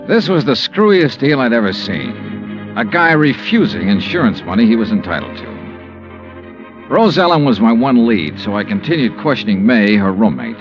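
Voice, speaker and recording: A man plays a hard-boiled insurance investigator narrating his case in an old radio drama. His delivery is dry and clipped, with lively swings in pitch. The broadcast sound is muffled, with music clearly behind him. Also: normal speed, natural voice. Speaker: narrator and lead.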